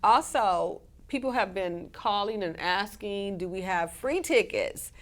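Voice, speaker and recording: A woman with a cold and a scratchy voice, chatting as a guest on a live community TV show, halting now and then with fillers. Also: mid-range voice, animated. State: hoarse from a cold. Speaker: guest, community organization president. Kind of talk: casual live TV interview.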